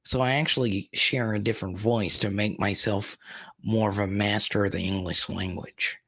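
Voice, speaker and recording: A man's voice: on the low side, fairly even intonation, normal speed, gravelly, fairly bright tone. A young man hosting a sports live stream, rambling casually while reading and answering viewers' chat comments in his plain, everyday voice.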